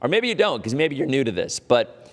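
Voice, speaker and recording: A man's voice: mid-range voice, sing-song, fairly quick, long reverb tail. A middle-aged man hosting a live weather stream, chatting casually and rambling with frequent asides while losing his voice.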